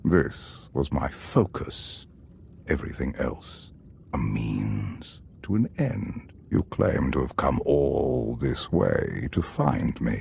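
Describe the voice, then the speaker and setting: Extremely deep, gravelly immortal emperor. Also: male voice, very animated, a little mellow, heavily acted. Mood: imperious calm. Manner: regal, deliberate, imperious. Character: ancient immortal emperor, serene, godlike and supremely arrogant. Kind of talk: dramatic video game voice acting.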